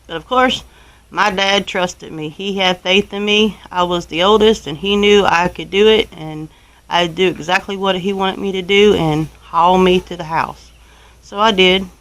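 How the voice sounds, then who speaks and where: A woman's voice: lowish voice, fairly even intonation, measured pace, fairly bright tone, poor audio. A woman with a Southern US accent tells a personal story to viewers in a casual, folksy, rambling way, using country turns of phrase. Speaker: homestead vlogger telling a personal story.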